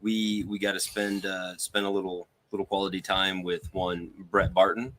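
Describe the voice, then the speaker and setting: A middle-aged American man with a deep, low voice talks on a podcast panel. His delivery is casual and conversational, as if among friends. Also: little pitch movement, normal speed, a bit bright. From Ohio, United States. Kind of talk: casual chat.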